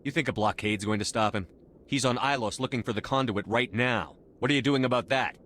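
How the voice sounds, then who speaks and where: An actor playing a blunt, steady military commander in a video game, firm and clipped, with music behind him. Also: male voice, medium pitch, a little fast, a little hiss or hum, close to an everyday voice. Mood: firm, insistent.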